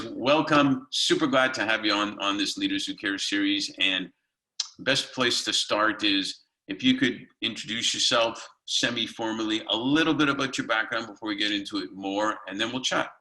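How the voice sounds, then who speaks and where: A man's voice: lowish voice, pushed and bright, low-quality recording. A middle-aged man hosting an interview over a video call from home, hesitant with many ums and repeats. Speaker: interview host. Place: home room.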